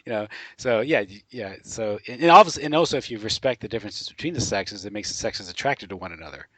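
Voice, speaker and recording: A man's voice: fairly low pitch, animated, fast talker, pushed and bright, no room sound, low-quality recording. A man hosting a casual podcast interview, asking long leading questions with fillers. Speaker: host.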